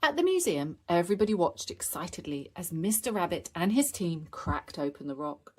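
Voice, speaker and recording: A woman reading picture-book stories aloud for young children in an expressive narrating style. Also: on the low side, moderate pace. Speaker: storybook reader.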